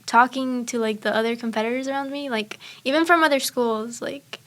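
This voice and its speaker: Teen girl, native Spanish speaker, bright higher pitch, rambling storytelling. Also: steady tone, a little fast. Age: teens. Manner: chatty, many fillers. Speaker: student speech competitor. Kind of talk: casual chat.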